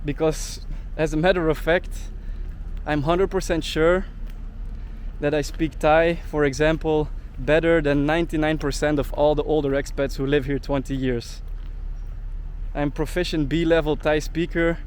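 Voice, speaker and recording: A young man rants to camera in an indignant vlog monologue, leaning on "like" as filler. His voice sits a little on the high side. Faint traffic hums in the street around him. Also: steady tone, a little slow. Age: young adult. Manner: indignant, heavy use of "like" fillers. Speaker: travel vlogger.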